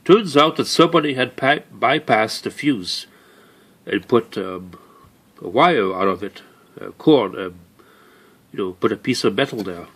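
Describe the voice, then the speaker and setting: Low-pitched man, halting hobbyist narration. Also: lively intonation, a bit bright, husky. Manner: halting, rambling, thinking aloud. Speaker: hobbyist showing his broken tape recorder. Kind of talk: unscripted handheld demonstration narration. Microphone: handheld camera or phone mic.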